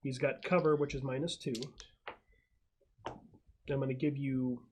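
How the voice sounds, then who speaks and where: A man's voice: mid-range voice, little pitch movement, measured pace, darkish tone, a little hiss or hum. A man acting as game master in a casual tabletop session, thinking aloud through rules and narrating the action.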